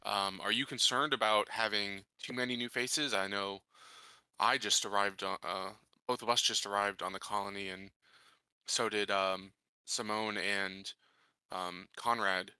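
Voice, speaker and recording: A man's voice: fairly low pitch, steady tone, measured pace, sharp tone, clear, resonant tone, no echo, exaggerated voice. A man roleplaying a cautious, deferential incoming colony sheriff in a tabletop game, speaking politely and hesitantly.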